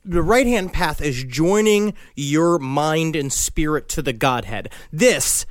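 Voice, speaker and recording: A man's voice: a little high, expressive, slow, dry recording, studio quality. A man co-hosting a comedy podcast, crude and jokey, laughing often as he riffs. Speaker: podcast co-host. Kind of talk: joking comedic podcast banter.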